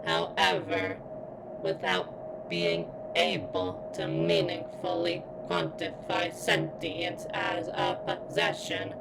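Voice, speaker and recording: A woman voicing a damaged female surveillance robot in an ASMR roleplay, speaking in formal, clinical, halting phrases with a strained, pained delivery. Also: extremely low pitch, big pitch swings, creaky, background noise, heavily acted. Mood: halting, urgent. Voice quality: strained, as if in pain. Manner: formal, clinical, stilted wording with halting pauses. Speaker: voice actor in audio roleplay. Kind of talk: ASMR roleplay, scripted character monologue.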